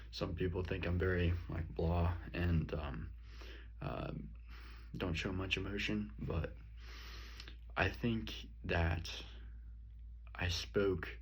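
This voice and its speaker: A young man from the country with a slight rural twang and a very deep voice, talking slowly and haltingly through a reflection on his own speech, full of ums and restarts. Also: breathy edge. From rural area. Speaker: student. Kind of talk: spoken self-reflection on a speech. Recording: dull lo-fi recording.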